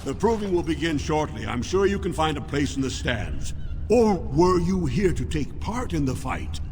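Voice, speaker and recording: A man's voice: a little high, big pitch swings, normal speed, darkish tone, slight background noise. An actor playing an officious dwarf master of arena fights in a video game, formal in his delivery. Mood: brisk, officious. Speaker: arena announcer and registrar. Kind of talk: video game dialogue acting. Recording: long reverb, music behind.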